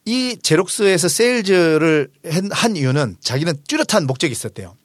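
Guy in his 40s hosting a book chat, really animated, explains stuff with emphasis, dry sound. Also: on the high side, a little fast, no echo. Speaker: host of a book discussion show; restaurant owner. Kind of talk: conversational book summary and discussion.

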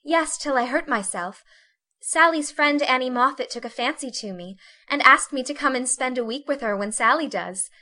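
Woman putting on a soft, fretful young lady. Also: high voice, normal speed, voice pushed up. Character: genteel young woman, delicate and complaining.

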